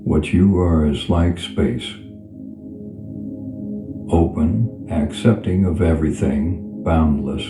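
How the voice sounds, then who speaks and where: A man guiding a meditation in a soothing, unhurried manner, leaving long pauses between phrases. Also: deep voice. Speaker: meditation guide. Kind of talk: guided meditation. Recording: reverberant voice over clear background music. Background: background music.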